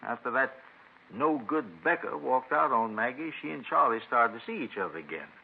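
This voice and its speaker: Gravelly old dad, stubborn country talk, voice swings around, old radio show. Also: male voice, medium pitch, lively intonation, moderate pace. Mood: indignant. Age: older. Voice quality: gravelly, husky. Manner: folksy, rambling, emphatic. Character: folksy, stubborn old father of a grown daughter. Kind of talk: 1950s radio crime drama. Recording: muffled vintage radio recording.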